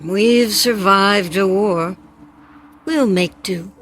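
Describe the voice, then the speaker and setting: A patient survivor working the land in a radio drama. She speaks very slowly and plainly, with a steadying manner, over faint ambience. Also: medium pitch, fairly bright tone, slight background noise. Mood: resolute, soothing. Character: patient, resolute woman farming to survive.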